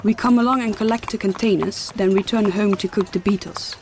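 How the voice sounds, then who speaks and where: A woman's voice: medium pitch, fairly even intonation, a little fast, natural voice. A woman as a patient fantasy mentor. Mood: patient, instructive. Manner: formal, patient explaining. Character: kindly, instructive female mentor of a fantasy light-gathering trade. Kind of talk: audio drama acting. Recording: clearly noisy background of clicks and horse-like sounds.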